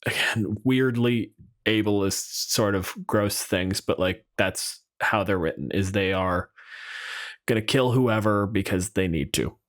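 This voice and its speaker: A man's voice: on the low side, a little slow. A man co-hosting a podcast, chatting casually and wryly with plenty of swearing. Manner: casual, profane, wry. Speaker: podcast co-host. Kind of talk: casual chat about tabletop game monsters.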